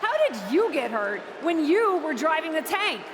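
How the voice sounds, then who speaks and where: A woman's voice: high voice, very animated, moderate pace, big-room sound, noisy background. An actress voicing a smug, sarcastic female wrestler who is stepping down as general manager, in a video game cutscene.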